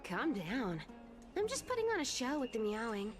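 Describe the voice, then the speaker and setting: Sly, lilting, teasing cat thief. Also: female voice, on the high side, wildly swooping pitch, moderate pace, soft background music. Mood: playful, taunting. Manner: teasing, drawling, playful. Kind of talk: video game voice acting.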